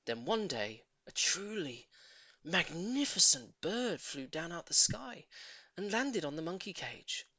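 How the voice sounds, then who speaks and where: A man's voice: on the high side, sing-song, measured pace, bright, forceful tone, no echo. A man narrating a children's story as a scripted audiobook, in a theatrical, emphatic way.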